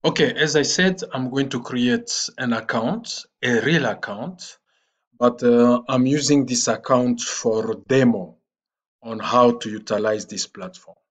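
Slow, halting man giving a screen tutorial. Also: medium pitch. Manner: slow and halting, with frequent "uh" fillers. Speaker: online platform trainer. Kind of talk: screen-recorded tutorial walkthrough.

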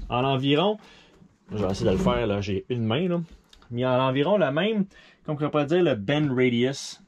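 Québécois man in his thirties, DIY boat vlogger; relaxed, talky, lots of fillers, close action-camera sound in a small cabin. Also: mid-range voice. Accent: Québécois French. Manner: relaxed, thinks aloud with fillers, mixes in English boat terms. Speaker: sailboat owner showing a refit. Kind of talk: casual how-to explanation.

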